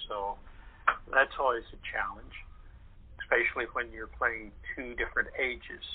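A man speaking as an interview guest, an actor chatting casually in a halting, reflective way. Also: medium pitch, husky. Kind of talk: casual chat. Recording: phone-like line with faint noise.

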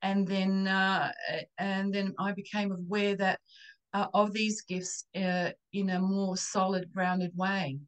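Australian woman, halting casual interview talk. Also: medium pitch, little pitch movement, clear, resonant tone. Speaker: interview guest, psychic channeler and Reiki therapist.

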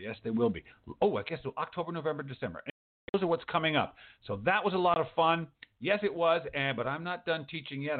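A man hosting a talk show in a casual, chatty way, rambling along and answering his own remarks. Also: a little high. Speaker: host of a writing talk show. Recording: muffled phone-like audio.

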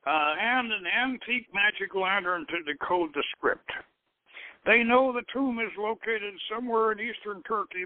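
A man hosting a radio show, reading a scripted text and his own novel aloud in deliberate phrases, as if over a telephone. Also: high voice, dry recording. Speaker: radio show host and author. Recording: tinny phone-line radio broadcast.

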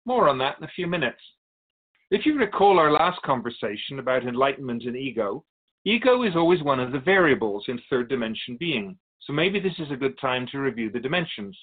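A man playing a calm otherworldly spirit guide in a radio drama, explaining things in a measured, quizzical way as an interview guest. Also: mid-range voice, phone-quality sound. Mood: patient teaching. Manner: measured, explanatory, quizzical about human speech.

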